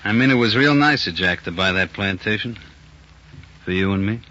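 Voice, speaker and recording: An actor playing a smooth, ruthless gangster in a 1940s radio crime drama, scheming with his lover. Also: on the low side, lively intonation, normal speed, bright, forceful tone. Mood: sly, cold. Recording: noisy, muffled old 1940s radio recording.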